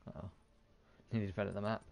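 A man's voice: on the low side, level tone, fast talker, gravelly, a little mellow. A man giving casual, offhand gameplay commentary, muttering and mildly swearing to himself. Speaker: gaming YouTuber.

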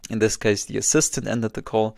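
Low gravelly young man, casual tutorial. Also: fairly even intonation, a little fast, a little mellow, no room sound, studio quality. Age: 20s. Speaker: presenter of a software tutorial. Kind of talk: casual screen-share walkthrough. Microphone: close microphone on desk.

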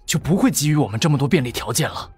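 A man playing a proud associate who holds in his anger, speaking low, restrained and firm in an audiobook drama. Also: expressive, racing, breathy edge, no room sound, faint music behind.

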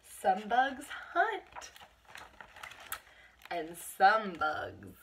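Bright, lively young woman reading to children. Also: mid-range voice, drawn-out words, a little hiss or hum. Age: 20s. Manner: expressive, addressing young children with questions. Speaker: read-aloud teacher. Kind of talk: children's picture book read-aloud. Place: small bedroom at home.

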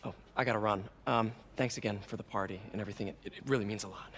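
An actor playing an earnest, wisecracking young American superhero in a video game, conversational and often awkward. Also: male voice, fairly low pitch, steady tone, rapid-fire, lightly acted. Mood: friendly, grateful. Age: young adult. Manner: stammering, boyish, conversational. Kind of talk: video game cutscene acting.

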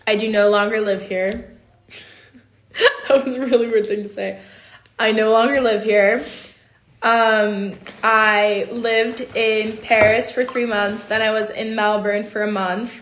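A woman's voice: medium pitch, steady tone, slow, fairly bright tone. A 19-year-old woman chatting casually in a playful, teasing way. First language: Dutch. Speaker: guest on sibling's livestream. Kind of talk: casual chat. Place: room with bookshelves at home. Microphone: phone at arm's length. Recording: roomy indoor echo.

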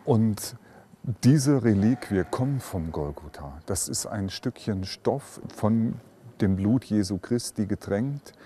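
A man's voice: on the low side, lively intonation, slow, warm tone, a little hiss or hum. A man answering an interview question in a plain, explanatory way. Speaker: interviewed expert.

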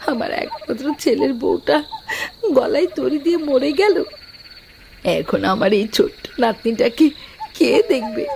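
A woman's voice: sits high, vocal fry, noisy background, exaggerated voice. A woman voicing a scheming older mother-in-law in a cartoon, who wails and scolds. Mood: wailing. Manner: wailing, scolding. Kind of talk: Bengali cartoon dubbing.

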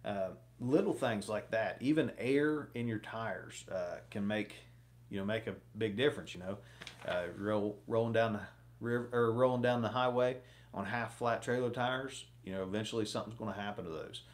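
A man's voice: on the low side, moderate pace. A man in his thirties talking casually to camera from a small home office, rambling with many fillers while giving fishing advice. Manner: rambling, lots of fillers, gestures a lot. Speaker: fishing vlogger.

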